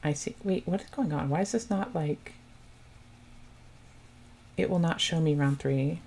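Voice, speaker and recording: Woman, low voice, soft and chatty, casual stream commentary, laughs often. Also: unhurried, creaky, husky.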